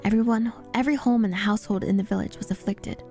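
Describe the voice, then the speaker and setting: A woman's voice: medium pitch, fairly even intonation, fast talker, mellow, faint music behind. A woman VTuber reading scripted story narration aloud in a dramatic readalong style.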